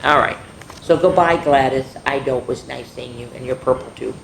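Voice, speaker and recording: A woman storyteller reading a picture book aloud to children, with lively, chatty asides to the kids. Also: very deep voice, normal speed, vocal fry, slight background noise. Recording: roomy echo.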